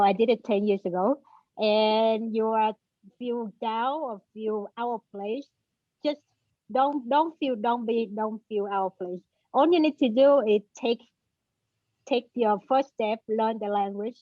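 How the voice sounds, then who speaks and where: A woman whose first language is Vietnamese, moderating a panel on a video call, halting and searching for words. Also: on the high side, no echo. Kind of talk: casual panel chat. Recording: dull, dry video-call audio.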